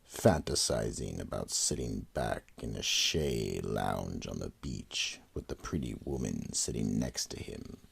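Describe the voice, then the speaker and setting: The gravelly Keeper of the Vault. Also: male voice, heavily acted. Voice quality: deep gravelly rasp. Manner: slow, ominous, drawn-out delivery. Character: sinister male Keeper of the Vault, brooding. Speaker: horror anthology narrator. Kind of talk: 1940s-style radio horror narration.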